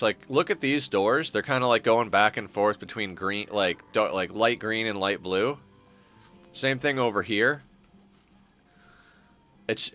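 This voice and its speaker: A man's voice: lowish voice, pushed and bright. Stuffed-up man musing over a game. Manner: rambling, self-correcting, wry. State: stuffed-up nose. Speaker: streamer. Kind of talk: casual gameplay commentary.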